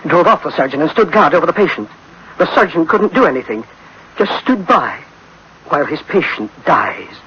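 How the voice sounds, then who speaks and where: An actor playing a worried, guilt-ridden scientist in a science fiction radio drama, speaking in tense, clipped phrases. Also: male voice, fairly high pitch, sing-song, normal speed, pushed and bright. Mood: grave, worried. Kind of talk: dramatic sci-fi radio acting. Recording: dull, faintly noisy old radio recording.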